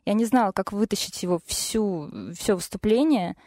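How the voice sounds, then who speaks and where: A woman's voice: medium pitch, moderate pace, darkish tone, no echo. A young woman, a singer, talking casually and a bit hesitantly as a studio guest about her musical path. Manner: hesitant, thoughtful, with fillers. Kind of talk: casual interview talk.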